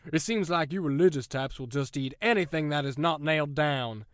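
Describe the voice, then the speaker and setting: A man acting as a sardonic, domineering prison warden in an audio drama. He sits a little high in pitch and taunts theatrically, full of menace, in a very dry, close recording. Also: fairly quick, no room sound, lightly acted. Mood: smug command.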